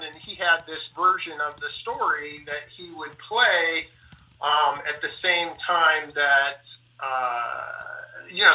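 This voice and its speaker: A middle-aged man talks off the cuff about his creative work. His voice is strikingly bright and forward, a little on the high side, and picked up at some distance from the microphone. He proceeds haltingly, often pausing and restarting his phrases. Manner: halting, broken phrasing with many pauses and self-corrections. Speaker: sound designer and composer for radio plays. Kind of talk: conversational panel talk about theater production.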